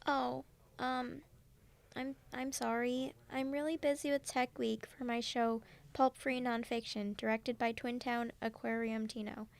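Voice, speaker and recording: Woman acting as upbeat student pal, high-pitched, frequent vocal fry, casual, supportive, audio drama dialogue. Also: level tone, a little slow. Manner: casual, encouraging. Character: friendly, easygoing student friend, supportive and upbeat.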